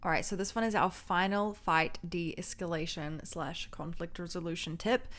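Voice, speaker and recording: A young woman with a low, loud voice, co-hosting a casual marriage advice chat and telling stories animatedly. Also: moderate pace. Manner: animated storytelling, acts out voices and quotes with laughs. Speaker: co-host of a marriage advice channel.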